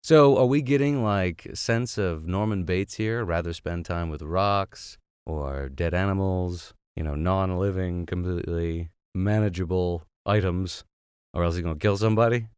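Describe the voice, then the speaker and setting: A man's voice: sits low, unhurried, a little mellow, clean tone, dry recording, studio quality. A man hosting a reading of classic books, playful and sardonic, slipping between narration and offhand tangents. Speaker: host and reader of a classics podcast. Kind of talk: audiobook reading with comic tangents.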